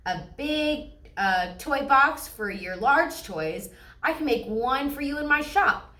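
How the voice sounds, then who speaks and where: A stern but reasonable storybook papa bear, acted out by a woman. He takes command and explains things plainly, in a raised pitch with a roomy echo. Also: lively intonation, slow pace, pushed and bright, heavily acted. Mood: encouraging. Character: stern but reasonable father bear, handy problem-solver.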